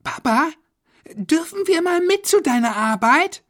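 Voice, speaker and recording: A man voicing excited, mischievous young children in a children's story. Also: extremely high pitch, a little slow, pitched above the performer's own voice, full character voice. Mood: eager. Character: group of excited, mischievous young children, two boys and a girl.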